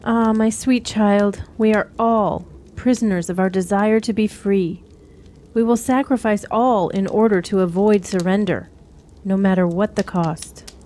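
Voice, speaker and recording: A woman playing a cryptic, sorrowful wise woman who counsels youths in measured riddles in a fantasy radio drama. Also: medium pitch, fairly even intonation, mellow, natural voice. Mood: sorrowful, calm. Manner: measured, riddling, archaic storyteller phrasing.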